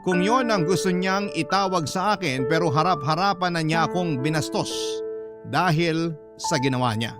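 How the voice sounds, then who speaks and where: A man with a fairly high voice narrates a radio drama as a first-person letter. His delivery is emotive storytelling, set over a loud music bed. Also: moderate pace. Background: loud background music.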